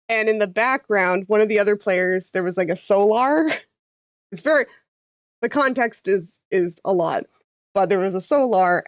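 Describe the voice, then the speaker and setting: A woman's voice: mid-range voice, dry recording. A Canadian woman chatting casually while she draws on a livestream, thinking aloud and trailing off mid-sentence. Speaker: VTuber artist streaming her drawing.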